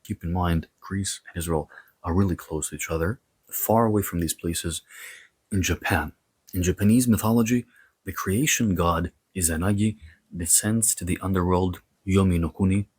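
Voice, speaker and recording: A man with a low, husky voice hosts an educational lecture. He reads scripted material in short, separated phrases and slips into casual asides as he explains. The sound is dry, with very little room echo. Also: normal speed, a little mellow. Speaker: host of a history and mythology series.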